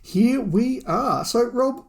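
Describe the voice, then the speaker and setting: A man's voice: very high-pitched, animated, slow pace, creaky. A middle-aged man, discussing and reviewing casually as a podcast co-host.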